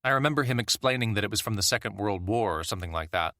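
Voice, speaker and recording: Low-voiced man narrating, bright and dry. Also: lively intonation, very fast, no room sound. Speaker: audiobook narrator. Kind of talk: first-person audiobook narration.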